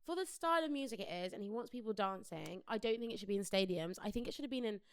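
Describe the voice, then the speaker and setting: A British woman talks informally as a podcast co-host, with a close, dry sound. Her pitch is middling for a woman, and she comes across as opinionated and conversational. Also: lively intonation, quick pace, smooth, no echo. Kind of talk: casual pop culture chat.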